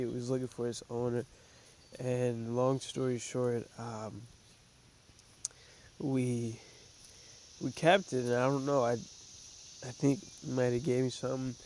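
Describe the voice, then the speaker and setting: A man's voice: mid-range voice, a little mellow, slight background noise. A man hosting a trading show, a little stuffy from a cold, talking loosely with many fillers and restarts. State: stuffy, congested. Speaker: podcast host. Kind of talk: casual trading commentary.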